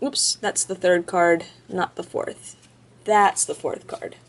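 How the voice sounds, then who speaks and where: A woman's voice: fairly low pitch, steady tone. A woman casually chatting as she opens card packs, rattling off card names one after another from just off camera at an indoor table. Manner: chatty, lists card names quickly in a row. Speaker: card-opening video host. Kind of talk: casual commentary while opening card packs.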